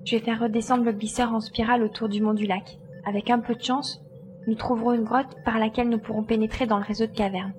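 A woman's voice: fairly high pitch, steady tone, fast talker, a little mellow, faint music behind, close to an everyday voice. A woman playing a determined, commanding glider pilot in a French audio drama. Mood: focused. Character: determined female glider pilot searching for her babies.

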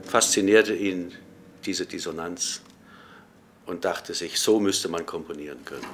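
A man's voice: low voice, a little slow, a bit bright, faint music behind. A man who owns a hotel, speaking in an interview and hesitating often with fillers. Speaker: hotel owner.

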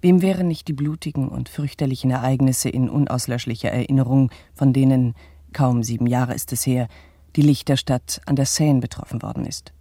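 Mellow, pompous gentleman narrator played by a man. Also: mid-range voice, steady tone, a little fast, no room sound, natural voice. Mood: grave indignation. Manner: pompous, declamatory. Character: pompous, indignant 1870s bourgeois male public narrator. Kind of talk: formal old-fashioned scripted address to an audience.

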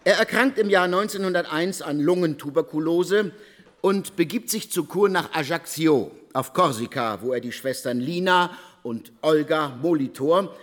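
An actor playing an insistent investigator in a German radio drama, speaking in a pointed, precise manner. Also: male voice, on the high side, lively intonation, normal speed, fairly bright tone. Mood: matter-of-fact, reciting. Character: probing, insistent male investigator reciting case files.

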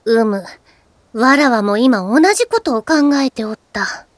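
A woman's voice: a little high, unhurried, no room sound, natural voice. A woman playing a female wind dragon in an anime audio drama, speaking in archaic, self-important phrases. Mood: pensive. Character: female wind dragon with old-fashioned regal speech. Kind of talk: Japanese anime drama CD acting.

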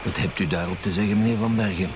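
An actor playing a firm, probing Flemish chief inspector in a radio drama, formal and insistent. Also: male voice, low voice, fairly even intonation, quick pace, noisy background, close to an everyday voice. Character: firm, probing male homicide detective.

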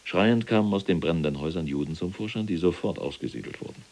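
Imprisoned SS general, cold and self-righteous,, extremely low gravelly voice, deliberate radio drama delivery. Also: male voice, animated, darkish tone. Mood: cold, boastful. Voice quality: very deep, gravelly, husky. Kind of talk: German radio play acting, monologue.

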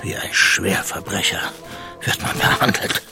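Panicky killer on the run, self-pitying whine, dragging pace, radio play, faint music behind. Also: male voice, pushed and bright. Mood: bitter. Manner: drawn-out, whining delivery. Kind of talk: audio drama acting.

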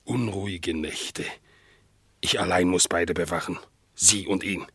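An actor playing a world-weary, amorous bulldog in a theatrical, declamatory radio play style. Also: male voice, sits low, a little slow, gravelly, fairly bright tone, husky, no room sound, heavily acted. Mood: dramatic, fervent. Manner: declamatory, dramatic storytelling.

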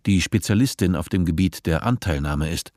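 A man narrating a scripted radio play in a measured, literary manner. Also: sits low, darkish tone, no room sound, studio quality.

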